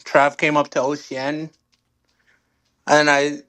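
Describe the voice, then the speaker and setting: A man's voice: a little high, monotone, slow pace, sharp tone. A man chatting casually in a message left as a caller to a show. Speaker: caller leaving a voicemail. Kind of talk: casual chat.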